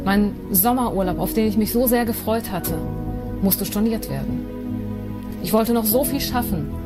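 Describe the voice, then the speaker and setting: A woman of mid pitch tells her personal testimony in an earnest, measured voice. Loud ambient music plays under her words. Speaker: testimony speaker.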